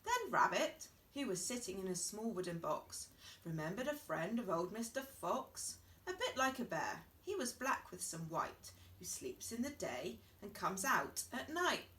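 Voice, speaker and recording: A woman narrates a rhyming children's story with expressive, swooping intonation. Her voice is fairly high and unhurried, picked up a little way from the microphone. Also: distant mic. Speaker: children's author reading a story.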